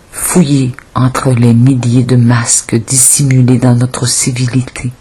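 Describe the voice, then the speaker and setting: Woman reading poems out loud, really breathy n husky, slow and solemn with pauses. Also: rumbling low, rough recording. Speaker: volunteer audiobook reader. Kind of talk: poetry recitation.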